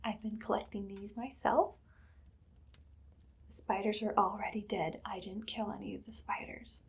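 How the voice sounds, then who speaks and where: A woman playing a haughty evil queen in an ASMR roleplay, speaking in a soft, breathy, often whispered voice with imperious, sweetly sinister deliberation. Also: mid-range voice, steady tone, moderate pace. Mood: pleased, musing. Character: evil haughty queen, casually menacing, commanding a captive servant.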